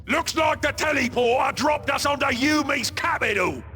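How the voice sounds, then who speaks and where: A man playing a brutish, boisterous ork in a video game, with a gravelly Cockney voice and crude, ungrammatical, bellowing speech. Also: extremely high pitch, expressive, moderate pace, faint music behind, heavily acted. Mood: gleeful, belligerent. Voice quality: gravelly, shouty. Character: brutish, boisterous male ork warboss and his gang. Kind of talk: video game cutscene acting.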